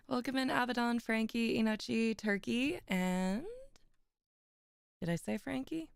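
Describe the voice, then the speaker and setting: A young woman streamer, a little hoarse, chatting with viewers and then reading classic prose aloud with giggles and small stumbles. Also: a little high, slow, no room sound, studio quality. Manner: giggly, chatty, stumbles over foreign words. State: slightly rough, hoarse voice. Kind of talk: casual chat and literary reading aloud.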